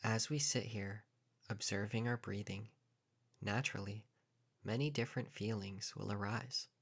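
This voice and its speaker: Low-pitched man guiding a calm meditation. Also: gravelly. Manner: calm, measured, with long pauses between short phrases. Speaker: meditation guide. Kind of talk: guided meditation narration.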